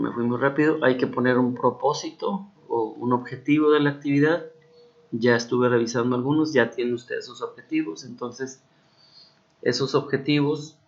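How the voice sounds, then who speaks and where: A male teacher with a Mexican Spanish accent walks his students through an assignment and keeps checking that they understand. His voice has a gravelly edge. The recording sounds a little dull and lo-fi. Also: medium pitch, a bit bright. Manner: patient, repeats key points with frequent check-ins. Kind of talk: recorded class explanation.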